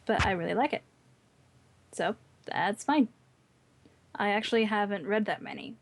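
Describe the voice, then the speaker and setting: A woman's voice: mid-range voice, normal speed. Woman chatting casually, mid-range voice. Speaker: podcast panelist. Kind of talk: casual chat.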